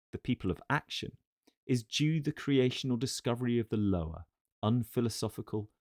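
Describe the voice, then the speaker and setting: A man reading a philosophical text as scripted narration, in a formal, measured and deliberate way. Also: medium pitch, a little mellow, no room sound, clean studio sound. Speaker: audiobook narrator.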